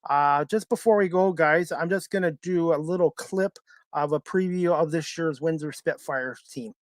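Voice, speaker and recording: Casual podcast host, dry close sound. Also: male voice, a little high, normal speed, no echo.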